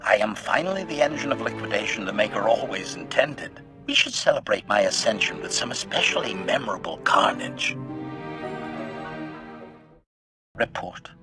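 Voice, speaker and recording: Game role: lethal assassin droid, devoted servant. Low, robot-filtered, precise, formal, deadpan menace over music. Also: male voice, fairly quick, few pauses. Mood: proud, menacing. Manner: formal, clipped, announces each statement with a label. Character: cold, calculating male assassin droid, gleefully bloodthirsty, loyal servant. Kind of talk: scripted video game acting. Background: background music.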